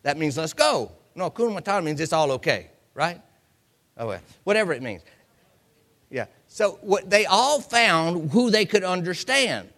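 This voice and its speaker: A man's voice: high voice, big pitch swings, normal speed, fairly bright tone. A man from the United States preaching an informal Bible lesson in a folksy way, repeating phrases to drive his point home. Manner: folksy, acts out examples and quotes. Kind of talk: informal Bible teaching sermon.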